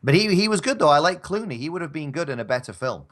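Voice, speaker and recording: A man's voice: on the high side, big pitch swings, quick pace, a bit bright. A man co-hosting a casual talk show, bantering about films in a rambling, wry, conversational way. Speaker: co-host of an audio drama talk show.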